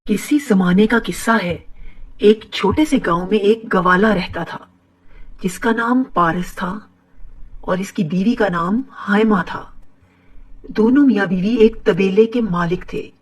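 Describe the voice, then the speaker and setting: A woman's voice: medium pitch, unhurried, husky, distant mic, rough recording. A woman narrating a children's moral tale in a scripted storytelling style.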